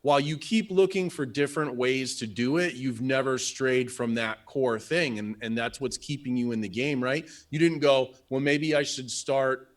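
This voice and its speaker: A man's voice: mid-range voice, normal speed. Enthusiastic man chatting on a live stream. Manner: enthusiastic, rambling with restarts. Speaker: business coach, co-host. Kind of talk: casual live stream chat.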